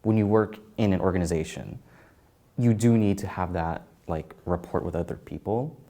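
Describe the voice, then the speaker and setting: A young man in his early twenties with an American accent gives casual, reflective interview answers. His voice is very low and soft-edged, with a mellow, relaxed tone. He phrases things tentatively, often dropping in "like". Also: normal speed. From Taiwan and California. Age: early 20s. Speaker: interviewee, graduate student.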